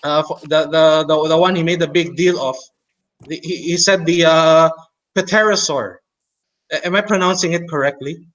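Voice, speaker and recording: A man speaking with a Chinese and Tagalog accent, his voice sitting fairly high. He stops and restarts often, filling gaps with "uh" while laying out his rebuttal on a casual podcast call. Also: moderate pace, a bit bright, highs cut off. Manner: hesitant, many fillers and restarts, mocking laughs. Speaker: co-host.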